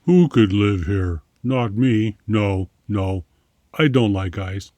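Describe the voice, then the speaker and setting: Man playing a slow, low-voiced grumbling brown bear. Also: lively intonation, dark tone, no room sound. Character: grumbling male brown bear, picky and plainspoken. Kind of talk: read-aloud picture book dialogue.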